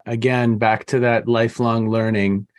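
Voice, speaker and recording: A man's voice: mid-range voice, little pitch movement, normal speed, dry recording, clean studio sound. A man hosting a conversational interview, appreciative and affirming, often repeating his phrases. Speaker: interview host. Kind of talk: conversational long-form interview.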